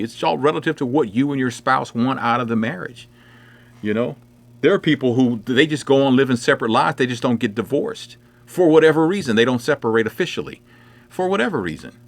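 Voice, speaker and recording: A middle-aged man chatting casually on a livestream, answering viewer comments in a rambling way with many fillers. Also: mid-range voice. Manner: conversational, rambling with many "you know" fillers. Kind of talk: casual chat. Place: indoors at home.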